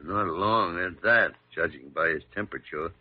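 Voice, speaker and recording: Gruff old senior doctor, thin radio sound. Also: male voice, low-pitched, moderate pace, narrow, tinny audio. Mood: somber. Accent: American. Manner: blunt, gruff, colloquial. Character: gruff, blunt older male senior doctor. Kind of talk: classic radio drama acting. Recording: thin, band-limited old radio recording.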